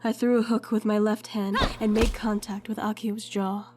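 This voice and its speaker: A woman's voice: medium pitch, flat delivery, normal speed, background noise, natural voice. A woman playing a young gifted heroine in an audio drama, earnest and a little unsure, telling her story in the first person. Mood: tense, earnest. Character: hesitant young gifted woman, apologetic, narrating her own fight.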